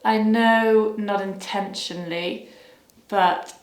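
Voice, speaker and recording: A young woman from Wales answering interview questions in a hesitant, thoughtful way, often pausing to search for words. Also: mid-range voice, fairly even intonation, echoey room, rough recording.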